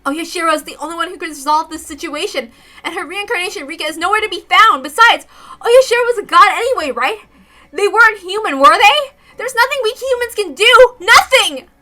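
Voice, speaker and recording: A wounded young girl played by a woman reading a story protests in desperation. Her voice is high and piercing, bitter and full of anguish. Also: animated, a little fast, exaggerated voice. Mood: frantic despair. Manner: anguished, protesting, loud outbursts.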